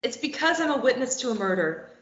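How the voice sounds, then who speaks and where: A woman reading a defiant captive young woman at a table read, firing back quick retorts. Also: on the high side, sing-song, a bit bright, reverberant, highs cut off. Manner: quick retorts, tense and pleading when threatened.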